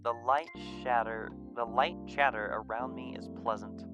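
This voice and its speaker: A man reading a visual novel aloud as expressive scripted narration. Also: mid-range voice, a little slow, lo-fi sound, music in the background.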